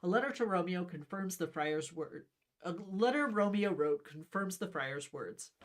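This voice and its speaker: A woman reading a story aloud on a livestream in a wry, conversational way, mixed with casual chat. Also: fairly low pitch.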